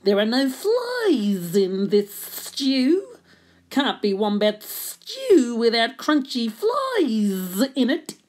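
A woman voicing a sly, critical lizard in a children's storybook, hissing out drawn-out words. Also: on the high side, huge pitch swings, darkish tone, full character voice. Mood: hissing, scornful. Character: blue-tongue lizard, sly critical adviser.

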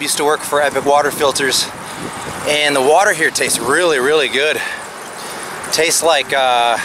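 Higher-pitched man vlogging outdoors. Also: poor audio. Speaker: travel vlogger. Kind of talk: casual chat to camera. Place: outdoors on a roadside path by an airfield. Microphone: camera at arm's length.

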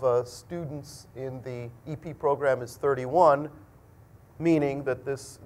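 A man's voice: medium pitch, a little mellow. A middle-aged man speaking as a school dean, giving an explanatory, conversational presentation to students. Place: indoor lecture room at a podium.

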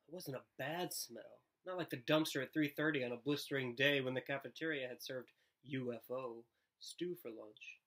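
Male storybook reader, thirties, bright forward tone, expressive narration. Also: medium pitch, moderate pace, few pauses. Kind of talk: expressive read-aloud narration. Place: living room, indoors.

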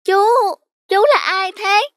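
Lady doing a tiny sweet cartoon boy, super high voice, polite n earnest, music under it. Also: little pitch movement, slow, fairly bright tone, heavily acted. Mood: curious. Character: sweet, lonely, generous little rich boy. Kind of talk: cartoon voice acting. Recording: music behind the voice.

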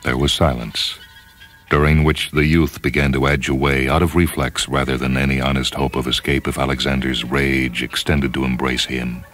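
An American man reading scripted dramatic narration for a radio story program in a measured, solemn way. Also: rumbling low, little pitch movement, fairly bright tone. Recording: music under the voice. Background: music.

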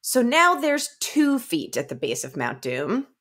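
A woman's voice: a little high, huge pitch swings, unhurried, fairly bright tone, full character voice. A woman voicing a brusque, sardonic female dungeon master with dramatic announcements and dry retorts. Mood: ominous, dry.

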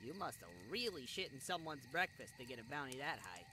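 A man's voice: mid-range voice, big pitch swings, a little fast, lightly acted. A man playing a cocky, foul-mouthed bandit in a fantasy audio roleplay, with a slangy, mocking, wisecracking way of talking. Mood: sly, knowing. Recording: noisy, with night crickets behind. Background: crickets, night forest ambience.

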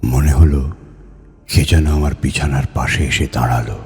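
A man reading a ghost story aloud in a measured, dramatic storytelling manner. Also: extremely low pitch, a little mellow, breathy edge. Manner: measured, acts out the dialogue. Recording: background music under the voice.

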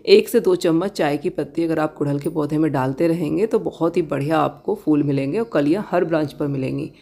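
A woman giving a casual tour of her balcony garden outdoors, gently pointing out plants and giving tips to viewers. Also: low-pitched, fairly quick, vocal fry. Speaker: garden vlogger.

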